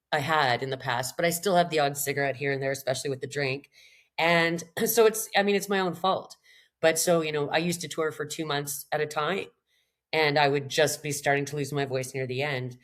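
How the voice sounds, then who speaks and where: A middle-aged Canadian singer-songwriter woman with a slightly raspy voice, talking candidly in a podcast interview, rambling and self-deprecating. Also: low-pitched. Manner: rambling, self-deprecating, frequent laughs and restarts.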